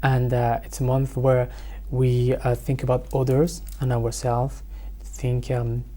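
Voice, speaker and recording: A young man from France answering interview questions hesitantly, with many pauses and self-corrections. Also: mid-range voice, monotone, warm tone. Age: young adult. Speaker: guest.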